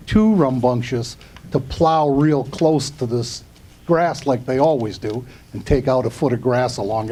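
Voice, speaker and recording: A man's voice: medium pitch, mellow, slight background noise. An older man giving public comment at a council meeting, rambling and earnest, a bit aggrieved. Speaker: resident speaking at public comment.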